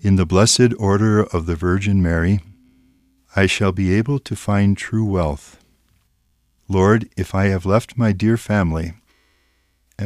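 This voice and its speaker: A man's voice: deep voice, darkish tone, no room sound, studio quality. A man, a priest, giving a reflective spiritual talk and carefully reading poetry aloud. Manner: careful, reflective, reads verse aloud slowly.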